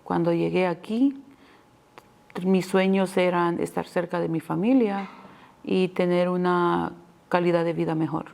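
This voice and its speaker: Salvadoran woman, 50s, interviewee; gravelly, monotone, earnest testimony. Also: on the low side, measured pace.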